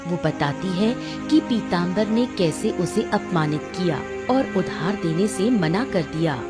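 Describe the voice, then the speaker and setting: A woman's voice: lowish voice, expressive, takes it slowly. A woman narrator reading a scripted cartoon story. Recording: music under the voice.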